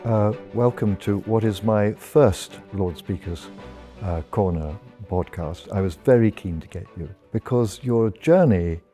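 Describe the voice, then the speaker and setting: A man hosts a formal interview podcast, his voice somewhat low and very dark and mellow. He puts his questions politely, stumbling now and then on uhs and repeated words. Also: unhurried, soft background music.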